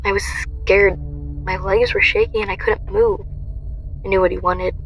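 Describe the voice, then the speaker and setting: A woman's voice: medium pitch, fairly even intonation, normal speed, dull audio, a little hiss or hum, lightly acted. A woman playing a young heroine who recounts her ordeal in intense first-person dramatic narration. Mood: tense intense.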